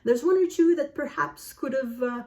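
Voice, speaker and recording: A woman talking casually as a book vlogger reviewing to camera, often pausing and rephrasing as she goes. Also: sits high, warm tone.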